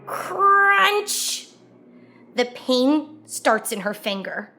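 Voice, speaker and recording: A woman reading a children's picture book aloud as a storyteller, expressively and with drawn-out emphasis on key words. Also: sits high, big pitch swings, bright, forceful tone, soft background music. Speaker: children's read-aloud host.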